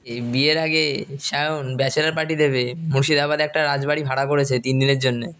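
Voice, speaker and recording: A man's voice: mid-range voice, little pitch movement, fairly quick, fairly bright tone, close to an everyday voice. A man playing a chummy, persuasive young school friend, colloquial and coaxing, in a dramatized story. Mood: urging.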